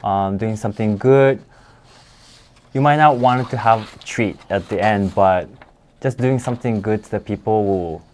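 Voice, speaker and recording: A man explaining things informally as a guest educator, in halting, phrasing. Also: on the low side, darkish tone, a little hiss or hum. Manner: halting, non-native phrasing.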